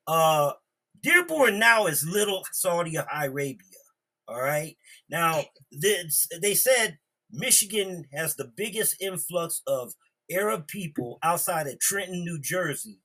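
Blunt, husky man with a forceful edge. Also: fairly high pitch, animated, a little slow. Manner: blunt, profane. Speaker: podcast discussion participant. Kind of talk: casual heated group discussion.

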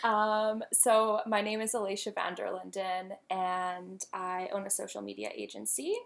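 A woman's voice: mid-range voice, level tone, unhurried, vocal fry. A woman in her twenties co-hosting a casual podcast, chatty and informal, with a self-deprecating way of talking. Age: 20s. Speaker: podcast co-host, business owner. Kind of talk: casual podcast chat between friends.

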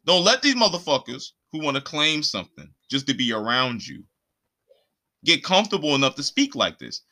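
An American man in a casual, opinionated podcast rant, speaking in an animated, indignant way with plenty of profanity and slang. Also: fairly high pitch, moderate pace. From Puerto Rico and the eastern United States. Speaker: podcast host.

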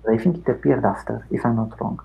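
A middle-aged man with an Italian accent, Italian his first language, talking through his past in an unhurried interview with many fillers and restarts. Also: medium pitch, vocal fry, dark tone, rough recording. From Italy. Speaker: academic guest. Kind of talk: reflective interview chat.

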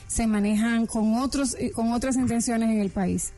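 Mellow-voiced woman co-host. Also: a little high, steady tone, moderate pace, faint music behind. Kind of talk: radio interview.

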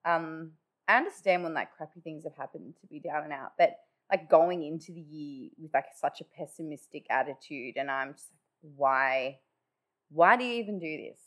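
A woman's voice: low-pitched, moderate pace, a bit bright. A woman hosting her own podcast in casual, chatty talk, with a nasally, congested voice after just waking up. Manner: chatty, many "like" fillers. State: just woken up. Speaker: podcast host. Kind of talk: casual solo podcast chat.

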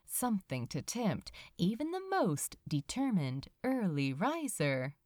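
A woman reads scripted fiction aloud like an audiobook. Her delivery is unhurried and measured, with widely varied, expressive intonation. The recording is dry and close, at a medium pitch. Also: dry recording. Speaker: fan fiction audiobook reader. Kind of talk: scripted story narration.

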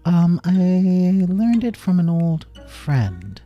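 A storyteller voicing an anxious, gentle animal mother who is hesitant and easily flustered, in a children's story. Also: mid-range voice, sing-song, a little slow, slightly rough, muffled recording, soft background music, voice pushed up, full character voice. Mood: hesitant, nervous. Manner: hesitant, with ums and uhs.

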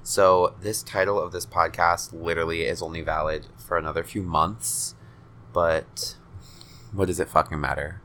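A man's voice: low voice, steady tone, normal speed, bright, forceful tone, a little hiss or hum. A nineteen-year-old man hosting his own podcast, rambling casually with lots of swearing and self-deprecating jokes. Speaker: podcast host.

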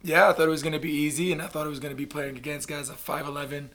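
A middle-aged man from Canada telling his story in a casual interview, blunt and animated, swearing freely. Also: fairly high pitch, rapid-fire. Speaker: guest, basketball player.

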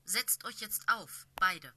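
Blaustern, a stern she-cat leader from a storybook, as a woman reads her, commanding and firm, her tone bright and sharp with a forceful edge. She speaks with the weight of a clan's leader, and her every word carries judgment. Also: medium pitch, takes it slowly, breathy edge, full character voice. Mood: stern, approving.

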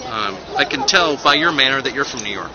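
A man's voice: mid-range voice, lively intonation, quick pace, a crowd in the background. A man from California, talking politely and asking short curious questions in a casual outdoor chat. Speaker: fly fisherman in the park. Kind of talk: casual street conversation. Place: outdoors in a city park. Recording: dull outdoor street recording with city noise.

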